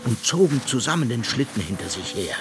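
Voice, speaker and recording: An actor playing an expressive fairy-tale narrator, reading a scripted children's radio story. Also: male voice, mid-range voice, a little fast, background noise. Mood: storytelling. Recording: studio radio play with music.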